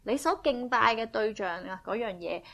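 A woman interpreting a sermon phrase by phrase, speaking in a brisk, fluent and eager way. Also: fairly high pitch, expressive, creaky, a bit bright.

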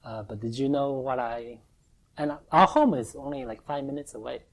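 Woman preaching, super low voice, choppy short phrases w pauses for translator. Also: rough recording. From Taiwan. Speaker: preacher giving testimony. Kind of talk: sermon with line-by-line interpretation.

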